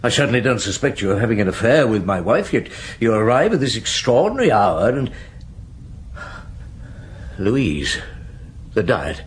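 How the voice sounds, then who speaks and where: An actor playing a middle-aged police chief inspector in a radio drama, dogged but kindly, musing with gruff authority. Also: male voice, lowish voice, sing-song, moderate pace, pauses often, heavily acted. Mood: probing, uneasy. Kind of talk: naturalistic radio drama acting.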